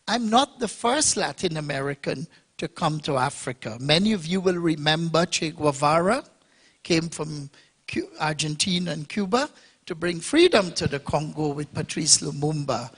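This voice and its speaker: A man's voice: on the high side, lively intonation, normal speed, a bit bright, husky. A man from Guyana speaking on a conference panel, in an expansive, speechmaking way that builds to emphatic points. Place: conference hall.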